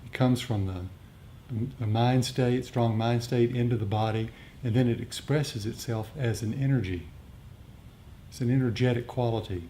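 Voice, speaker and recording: A man teaching meditation in a talk with questions and answers, speaking in a halting, reflective, explanatory way. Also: dark tone. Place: group meditation gathering. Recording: rumbly background noise.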